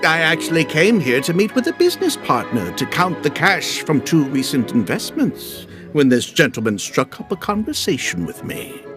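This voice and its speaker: A man voicing an older merchant in a game cutscene, a genial smooth talker who placates others and hands out worldly advice. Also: a little high, wildly swooping pitch, moderate pace, hardly any pauses, over music. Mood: lecturing. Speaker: peacemaking tea house patron.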